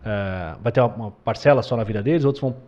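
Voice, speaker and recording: Host, male, low voice, quick delivery, dull lo-fi podcast audio. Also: darkish tone. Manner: quick, affirming, many sim and uhum. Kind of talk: casual podcast conversation.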